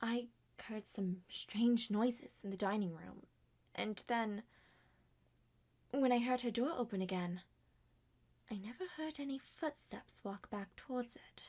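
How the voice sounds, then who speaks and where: A woman voicing many characters in an ASMR roleplay, speaking softly and often dropping to a whisper. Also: fairly high pitch, measured pace. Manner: soft-spoken, drifts between whispers and normal voice.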